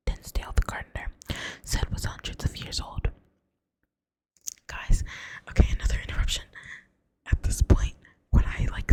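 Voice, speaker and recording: A woman with a Southern US accent reads a novel aloud in a soft ASMR whisper. She stumbles on words now and then and corrects herself without breaking the hush. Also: rough recording. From Southern United States. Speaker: reader.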